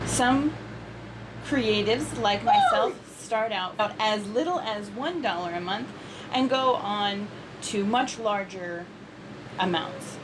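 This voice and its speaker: A woman writer speaking carefully and deliberately, like an amateur reading her own work aloud. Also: medium pitch. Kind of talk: amateur announcement and audiobook narration. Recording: clear steady background noise.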